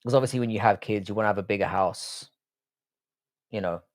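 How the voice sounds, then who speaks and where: A man's voice: fairly low pitch, fairly quick. A man with a London accent, live streaming casually, answering chat in an informal, matey way and swearing freely. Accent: London English. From London, England.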